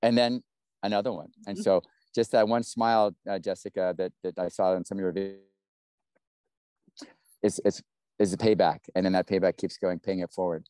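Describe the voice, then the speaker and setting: Man hosting, smooth low voice. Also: fairly even intonation, normal speed, long pauses, mellow, dry recording. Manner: rambling, encouraging, many restarts. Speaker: webinar host. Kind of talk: casual hosting on a video call. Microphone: video call.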